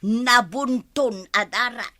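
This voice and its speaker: A woman's voice: fairly high pitch, slow pace, pushed and bright, no room sound. A woman with an Ilocano accent playing a suspicious, complaining village neighbour in a radio comedy drama. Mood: suspicious, grumbling.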